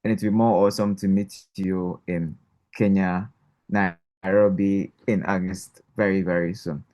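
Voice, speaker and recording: A man's voice: low voice, flat delivery, unhurried, darkish tone. A man from Ghana, a regional coordinator, speaking formally and courteously on a video call. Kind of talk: online meeting presentation.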